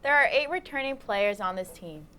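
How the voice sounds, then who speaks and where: A woman's voice: medium pitch, expressive, moderate pace, pushed and bright, a little hiss or hum. A woman hosting a sports talk show, putting prepared questions to her panel. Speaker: host. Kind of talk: posing scripted discussion questions.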